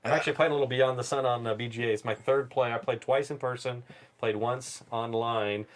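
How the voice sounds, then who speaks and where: Male board game streamer, conversational, thinking out loud through each move. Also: mid-range voice, fairly even intonation, a little fast. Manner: talks through moves aloud. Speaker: board game player and host of a live play stream. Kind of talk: casual chat during board game play.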